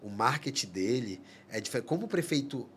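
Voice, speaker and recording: Guy from on a podcast, earnest, keeps restarting his sentences, middle pitch. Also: expressive, fairly bright tone, a little hiss or hum. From Manaus, Amazonas, Brazil. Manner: earnest, halting, many restarts. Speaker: psychologist guest. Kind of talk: casual podcast conversation.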